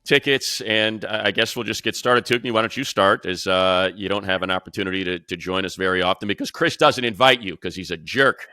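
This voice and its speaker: A man's voice: fairly low pitch, fairly quick, hardly any pauses, bright, forceful tone, clean tone, dry recording, studio quality. An American man hosting a casual sports panel, talking informally and throwing out questions. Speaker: sports show host and play-by-play announcer.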